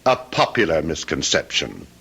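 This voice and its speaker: A sly Southern gentleman villain for radio drama. The voice is deep but forceful, roughened at the edges, and it swoops through mock-polite taunts. Cruelty sits under every courtesy. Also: wildly swooping pitch, moderate pace, heavily acted. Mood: mockingly polite. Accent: American Southern.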